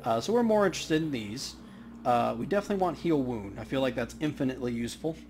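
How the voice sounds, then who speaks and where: A man's voice: medium pitch, animated, fast, a little mellow, soft background music. A man hosting a let's play, giving casual running commentary on the game. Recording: faint background noise.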